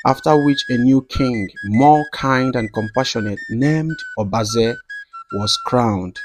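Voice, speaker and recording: A man's voice: mid-range voice, poor audio. Male folktale narrator over music. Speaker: storyteller narrator. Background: background music.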